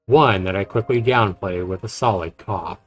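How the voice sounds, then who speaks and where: Low-pitched man streaming a game. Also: moderate pace. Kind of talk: reading visual novel narration aloud with casual commentary. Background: game music.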